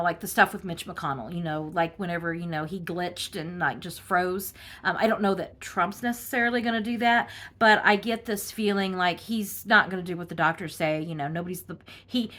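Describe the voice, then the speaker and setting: A woman lays out tarot cards and talks through them in a loose, wandering way, often pausing on fillers. She sounds a bit congested from a cold, and her voice sits at an ordinary middle pitch. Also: fairly bright tone. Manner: rambling, halting, full of fillers. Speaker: tarot reader. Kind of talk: casual tarot reading monologue.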